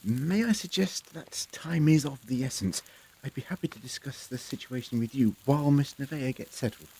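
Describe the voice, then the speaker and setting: Man playing an eccentric, very lively adventurer doctor. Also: medium pitch, moderate pace, husky, poor audio. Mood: courteous, playful. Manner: whimsical, polite, slightly hesitant. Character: eccentric, affable, quick-witted time-travelling doctor. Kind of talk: sci-fi audio drama acting.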